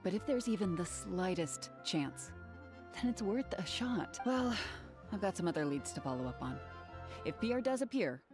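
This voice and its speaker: A woman's voice: medium pitch, expressive, moderate pace, lightly acted. An actress voicing an earnest, businesslike female guard officer in a video game, speaking formally and articulately. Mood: earnest, appealing. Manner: formal, articulate, matter-of-fact. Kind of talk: scripted video game voice acting. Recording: game audio with background music. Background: background music.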